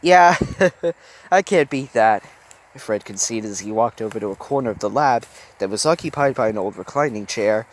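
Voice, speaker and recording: A man reading a fan story aloud, animated with occasional stumbles over words. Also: mid-range voice. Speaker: reader.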